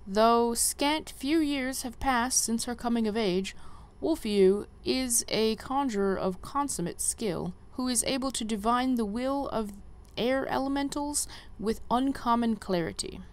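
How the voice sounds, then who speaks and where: A woman voicing a formal, wise guildmaster mentor from a fantasy game, reading the quest dialogue in a stately, measured way. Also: a little high, natural voice. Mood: solemn, encouraging. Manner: stately, measured, archaic phrasing.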